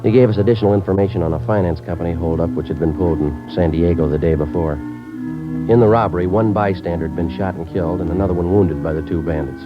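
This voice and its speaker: An actor playing a stoic police detective, narrating and talking in a clipped, matter-of-fact way, in a 1950s radio drama. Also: male voice, low-pitched, fast, close to an everyday voice. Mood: calm. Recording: dull old radio broadcast with music.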